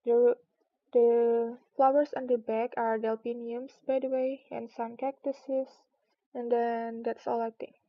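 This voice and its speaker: A woman streamer with a high voice and little rise or fall in it. Her delivery is casual, suited to chatting or reading a story aloud. The recording sounds a bit dull and muffled. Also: level tone, unhurried, no echo.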